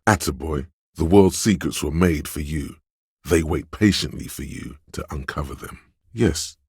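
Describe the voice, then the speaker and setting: A man's voice: a little mellow, no room sound, studio quality, full character voice. A man playing a smug, goading inner voice in a video game, suave and theatrical, savoring his words with dramatic emphasis. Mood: conspiratorial, delighted. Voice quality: very deep, husky.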